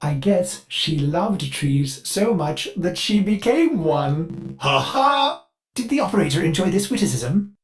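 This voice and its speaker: A man's voice: high voice, sing-song, a little slow. An actor voicing a polite, eager male ship AI servant in a video game, with a formal, mannered delivery. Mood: amused. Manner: mannered, formal, refers to itself in third person. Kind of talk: scripted game character voice lines.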